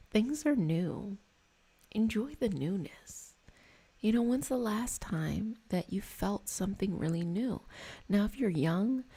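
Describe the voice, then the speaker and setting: A woman hosting an ASMR reiki healing session, talking in a soft, soothing, meandering and conversational way. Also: on the low side, breathy edge. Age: adult over 25. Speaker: reiki practitioner and session host. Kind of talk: ASMR guided healing session with casual chat.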